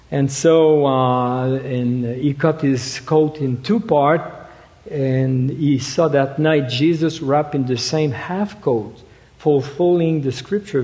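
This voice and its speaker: A male preacher giving a sermon, halting and full of fillers, with a habit of saying "you see". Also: mid-range voice, a little mellow. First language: French. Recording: long hall reverb.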